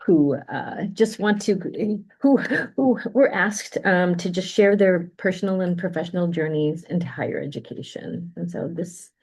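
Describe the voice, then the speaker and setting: A woman's voice: lowish voice, measured pace, gravelly, hardly any pauses, darkish tone, slightly rough. A woman directing a campus center, introducing a guest in a chatty, hesitant way with many fillers. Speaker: center director, event host. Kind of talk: welcoming introduction.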